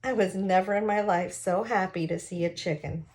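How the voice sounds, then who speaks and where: A woman narrates a novel in an expressive read-aloud style. Her voice sits at a medium pitch, and she adds explanatory asides for listeners as she goes. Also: normal speed.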